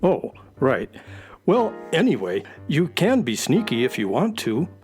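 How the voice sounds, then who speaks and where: An actor playing a wry, bluntly practical space horse sidekick in a children's radio play. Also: male voice, on the high side, huge pitch swings, a little slow, darkish tone, soft background music. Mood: wry, prodding.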